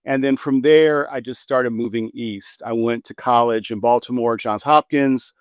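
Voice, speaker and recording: A man's voice: mid-range voice, moderate pace, clear, resonant tone, no echo. An older American man in his sixties tells his story as a guest physician, his voice rising and falling with animation. The sound is dry and close, with no room around it. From United States. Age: 60s. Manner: animated storyteller, repeats words when excited. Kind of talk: conversational interview storytelling.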